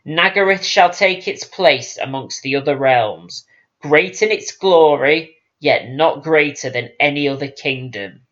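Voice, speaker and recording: A defiant young-blooded elf prince, acted out by a male narrator in a fantasy audiobook. He speaks firmly and deliberately, like an orator. The sound is a little muffled. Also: fairly high pitch, a bit bright. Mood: defiant, resolute. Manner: firm, oratorical.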